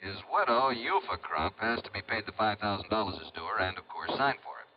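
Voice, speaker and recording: An actor playing a brisk, insistent insurance executive in a vintage radio drama, coaxing as he talks. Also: lowish voice, animated, natural voice. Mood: businesslike. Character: pushy, brisk male insurance company executive. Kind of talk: 1950s radio drama acting. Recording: dull old radio recording.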